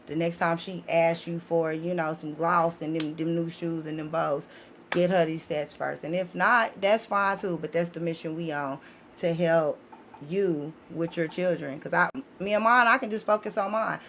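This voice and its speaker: A woman's voice: fairly low pitch, steady tone, normal speed, fairly bright tone. A woman podcast guest talking in an impassioned, conversational way, blunt, emphatic and full of slang. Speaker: podcast guest, author of children's book series. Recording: noisy room ambience.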